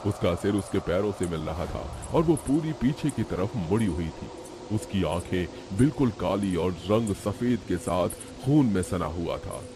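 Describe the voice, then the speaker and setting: A man's voice: low-pitched, expressive, a little slow, noisy background. A man narrating a scripted horror story in a dramatic storytelling style.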